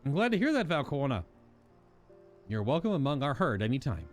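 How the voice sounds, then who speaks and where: A man's voice: medium pitch, big pitch swings, a little fast, soft background music, close to an everyday voice. A man voicing a friendly, eager nomad herder from a fantasy game, explaining customs in a welcoming storytelling manner. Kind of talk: reading fantasy game dialogue aloud.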